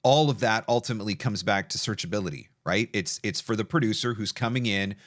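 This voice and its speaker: A middle-aged man with a low-pitched voice speaks as a webinar host. His delivery is conversational, enthusiastic and emphatic, and the sound is dry with hardly any room in it. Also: quick pace, fairly bright tone, no echo. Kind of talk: casual interview and product pitch.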